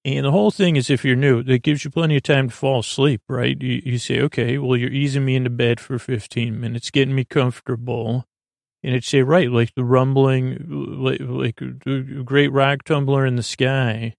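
Creaky-voiced, meandering male podcast host. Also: medium pitch, fairly even intonation, no room sound, studio quality. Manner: halting, meandering, stammering with many false starts. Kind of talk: rambling, whimsical sleep podcast monologue.

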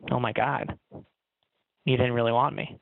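A man's voice: mid-range voice, fairly even intonation, moderate pace, vocal fry, no echo, phone-quality sound. A man voicing a tiny, drunk pianist, wounded and self-pitying, given to emotional outbursts, in a comic story. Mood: hurt, angry.

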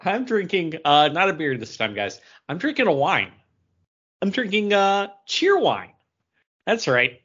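A man's voice: high-pitched, very animated, a little slow, a bit bright, husky, lo-fi sound. A man chatting casually as a podcast co-host. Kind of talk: casual chat.

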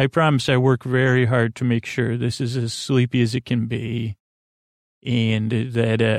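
A man rambles through a sleep-podcast monologue, losing his thread and starting over again and again. His voice has a gravelly rasp. It sounds dry and close, with no room around it. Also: no room sound. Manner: meandering, halting, full of fillers and restarts; deadpan mock product pitch.

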